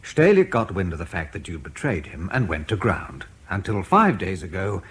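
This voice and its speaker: A man playing a dry, precise intelligence interrogator with a husky voice in a British radio drama. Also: low voice, big pitch swings, full character voice. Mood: coolly accusing. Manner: measured, cutting, ironic.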